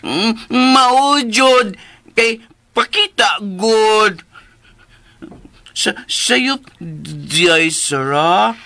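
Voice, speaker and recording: A childlike man in a radio drama, gentle and eager. The speech is extremely slow and stammering, words often repeated, in a bright, forward tone. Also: mid-range voice, expressive, heavily acted. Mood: earnest.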